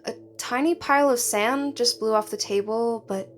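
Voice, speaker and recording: A woman's voice: fairly high pitch, moderate pace, faint music behind, close to an everyday voice. An actress playing a curious, hesitant woman explorer in an audio drama, talking in a tentative, naturalistic way. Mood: wondering, uneasy.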